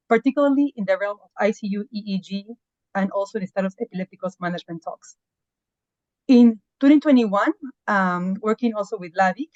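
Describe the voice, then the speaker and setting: A woman talks earnestly as a podcast guest, often pausing on fillers. Her voice sits at a middle pitch. The sound is dull and dry, like a lo-fi call. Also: darkish tone, no room sound. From Peru. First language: Spanish. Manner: earnest, with fillers and non-native phrasing. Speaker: physician guest. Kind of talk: podcast interview.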